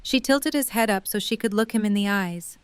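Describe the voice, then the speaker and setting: Female narrator for scripted fiction, mid-range pitch, smooth and clear. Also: moderate pace, no room sound.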